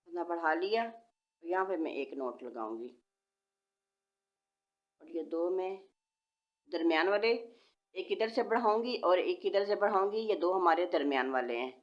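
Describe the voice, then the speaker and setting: A woman, patiently giving step-by-step knitting instructions and counting stitches aloud. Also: lowish voice. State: sore throat, voice slightly changed. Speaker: knitting tutorial host. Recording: dull lo-fi home recording.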